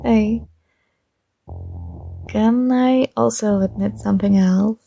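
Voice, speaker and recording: Woman acting a shy college girl with a crush, slow unhurried delivery, soft and hesitant, slight vocal fry, intimate ASMR roleplay, music behind. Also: mid-range voice, fairly even intonation. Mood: gentle, curious.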